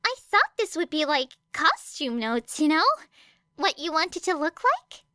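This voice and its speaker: A woman puts on an excitable teenage schoolgirl for an audiobook story. The voice is extremely high and bright, swooping widely in pitch, with an eager, gushing, casual manner. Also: measured pace, no room sound, studio quality, full character voice. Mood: surprised, puzzled. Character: bubbly, excitable teenage schoolgirl hero student.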